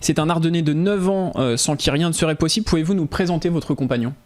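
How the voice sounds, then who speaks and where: A man's voice: on the high side, quick pace, a little mellow. A man hosting an interview, asking prepared questions in a polite, formal manner. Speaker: radio interviewer, host.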